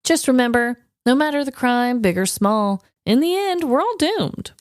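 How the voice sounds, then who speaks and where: A woman's voice: high voice, animated, normal speed, no room sound, studio quality. An American woman co-hosting a podcast in casual, reactive chat, speaking emphatically and repeating words for emphasis. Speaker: podcast co-host.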